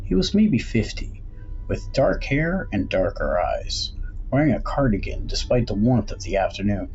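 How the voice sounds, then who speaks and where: A male audiobook narrator reads a suspense tale in a fairly low voice. His intonation is very animated. The sound is a little dull, with faint background noise. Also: normal speed, gravelly. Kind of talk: scripted narration of suspense story. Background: faint ambient music or noise.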